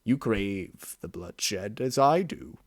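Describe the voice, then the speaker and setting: A man voicing a bloodthirsty male inner beast that taunts slowly and tempts to violence, in an audio reading of a story. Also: fairly low pitch, expressive, no echo, studio quality. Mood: taunting.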